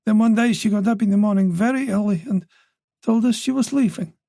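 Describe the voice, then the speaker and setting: A man voicing an older mother who talks quickly and insistently. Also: high-pitched, creaky, dark tone, no room sound, clean studio sound, pitched above the performer's own voice. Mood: earnest. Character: worried older French mother, forthright and talkative.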